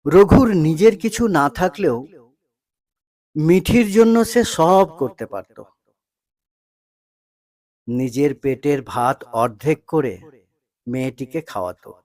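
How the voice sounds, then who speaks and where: A man narrating a scripted story in a dramatic, emotive way, pausing between short phrases. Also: a little high, expressive, a little mellow.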